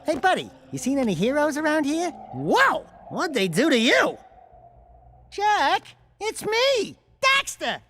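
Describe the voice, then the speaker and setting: An actor voicing a small, jumpy, wisecracking animal sidekick in a video game cutscene, quick and whiny. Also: male voice, extremely high pitch, huge pitch swings, sharp tone, no room sound, exaggerated voice. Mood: chatty, urgent. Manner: fast quippy and whiny.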